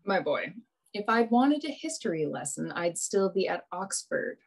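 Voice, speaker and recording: A woman's voice: fairly low pitch, sing-song, moderate pace, gravelly, breathy edge, heavily acted, low-quality recording. A woman playing a brash, wry young male adventurer in a radio play, with a dry, teasing manner. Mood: teasing, impatient.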